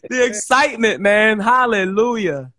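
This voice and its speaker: A man's voice: high-pitched, animated, measured pace, sharp tone. A man hosting a casual livestream, talking and praying, exclaiming praise exuberantly. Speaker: host. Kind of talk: casual livestream chat and spoken prayer.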